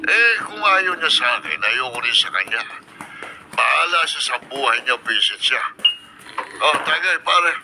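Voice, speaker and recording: An actor in a radio drama playing a bitter, drunken middle-aged father who talks in a gruff, slurred voice. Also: medium pitch, lively intonation, unhurried, pushed and bright, exaggerated voice. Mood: bitter, drinking. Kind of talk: 1970s-style AM radio drama acting. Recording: noisy old AM radio broadcast.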